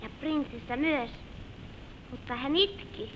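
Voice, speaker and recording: A curious little girl with a high, swooping voice, eager and earnest, childlike in every question and remark. It is vintage radio drama acting on a slightly noisy old recording. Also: lively intonation, lo-fi sound. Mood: wondering, wistful. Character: eager, curious little granddaughter listening to stories.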